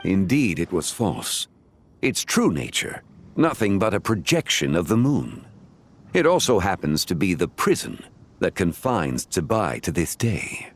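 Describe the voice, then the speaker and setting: A man playing a calm, learned, courteous scholar of ancient lore in a video game, speaking in a formal, measured, eloquent way. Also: sits low, a little hiss or hum, exaggerated voice. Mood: composed, explanatory. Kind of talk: video game dialogue acting.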